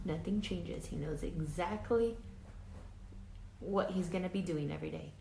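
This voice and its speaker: A woman reading a children's picture book aloud like a teacher, deliberate and emphatic, drawing out words and adding explanatory asides. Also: fairly low pitch, creaky, dark tone. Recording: echoey room, faint background noise.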